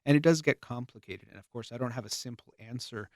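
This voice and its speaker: A man talks in an earnest, measured way, pausing and restarting his sentences as he works through his thoughts. His voice is wearing thin, as though he is losing it. His pitch sits in an ordinary middle range, and the recording is dry with no room echo. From United States. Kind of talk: reflective spoken monologue.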